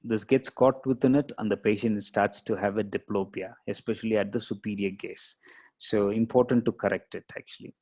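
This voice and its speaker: Guy with a lowish voice teaching radiology, explains stuff bit by bit with halting pauses. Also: fairly even intonation, a little mellow, husky. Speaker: radiologist lecturer. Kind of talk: medical lecture, explaining case images.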